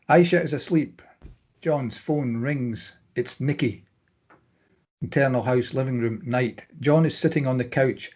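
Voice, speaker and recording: A man with a husky voice reading stage directions as scripted narration at a table read. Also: medium pitch, a little mellow.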